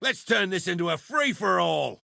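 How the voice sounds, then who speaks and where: A brash pirate brawler from a video game who revels in violence. His gravelly, fairly high voice is sharp and forceful, taunting with rowdy swagger. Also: lively intonation, normal speed, no echo, studio quality, full character voice. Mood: rowdy, aggressive. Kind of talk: video game combat barks.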